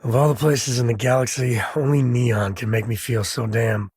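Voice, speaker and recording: An actor voicing a wry, easygoing space ranger and father in a video game, with a husky, raspy voice and a folksy drawl. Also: medium pitch, steady tone, moderate pace, darkish tone, dry recording, studio quality, natural voice. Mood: matter-of-fact. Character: laid-back frontier space ranger, doting father, wry and plainspoken. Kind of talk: video game character dialogue.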